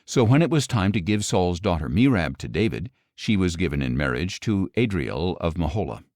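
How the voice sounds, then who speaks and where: A man reading scripture as an audiobook narrator, in a measured, expressive storytelling delivery. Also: deep voice, no echo, clean studio sound.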